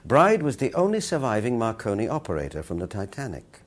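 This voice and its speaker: A man's voice: lowish voice, huge pitch swings, a little fast. A man hosting a historical audio production, delivering scripted documentary narration. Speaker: host and narrator.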